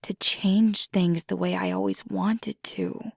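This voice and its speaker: A woman voicing a fiery young werewolf girlfriend in intimate ASMR roleplay, husky and often whispery, confiding earnestly and haltingly. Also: medium pitch, steady tone, dry recording, studio quality, heavily acted. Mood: hesitant, earnest. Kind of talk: intimate ASMR roleplay, soft half-whispered close speech.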